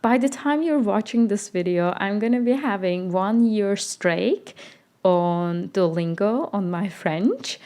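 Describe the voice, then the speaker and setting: A woman's voice: medium pitch, a little mellow. A young woman, not a native English speaker, chatting casually in a life-update vlog, with frequent fillers and self-corrections. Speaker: vlogger.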